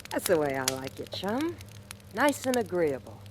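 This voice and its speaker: An actress playing a tough, shrewd hobo in a 1940s radio thriller, sardonic, slangy and taunting. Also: female voice, on the low side, sing-song, moderate pace. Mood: cool, wary. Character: tough wisecracking female hobo, shrewd and mocking. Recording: noisy old radio recording.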